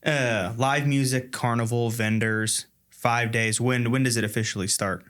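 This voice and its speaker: A man's voice: medium pitch, steady tone, measured pace, a bit bright. A man co-hosting a podcast, asking guests questions in a casual, chatty way. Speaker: podcast co-host. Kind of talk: casual interview chat.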